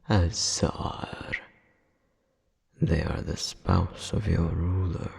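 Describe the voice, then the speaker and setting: A man playing a cold, menacing royal demon brother in an audio roleplay, haughty and deliberate, with a breathy, husky voice. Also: low voice, darkish tone, heavily acted. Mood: stern, imperious. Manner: haughty, slow, deliberate, veiled threats. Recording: long reverberant hall echo.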